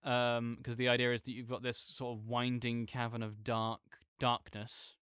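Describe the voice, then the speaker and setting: A man's voice: medium pitch, flat delivery, normal speed, a bit bright, smooth, no room sound, studio quality, lightly acted. A man playing a chatty, nerdy roommate who rambles enthusiastically about a video game in a casual, digressive audio roleplay monologue. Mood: enthusiastic, opinionated.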